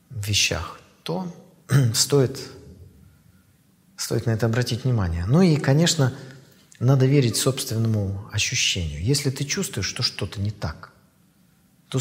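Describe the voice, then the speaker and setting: A man in his fifties, an author, giving thoughtful interview answers in a measured way with pauses and fillers. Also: medium pitch, in a large hall. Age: 50s.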